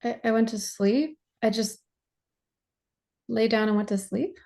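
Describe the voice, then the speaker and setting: A woman acting a polite, bewildered runaway bride in a staged play reading, with a hesitant, halting way of talking. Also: a little high, gravelly, mellow. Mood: puzzled, uneasy.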